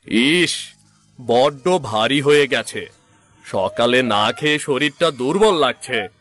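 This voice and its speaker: A man playing a poor, weary middle-aged woodcutter father in a moral-story cartoon, gentle but proud, with a plaintive, folksy way of talking. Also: medium pitch, animated, slow, no echo, soft background music. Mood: weary, complaining.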